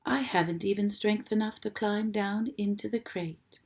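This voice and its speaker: A woman reading aloud and voicing a gentle, dying female spider who speaks softly, low and calm. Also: moderate pace, lightly acted. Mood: weary calm. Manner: soft, low, calm and tired.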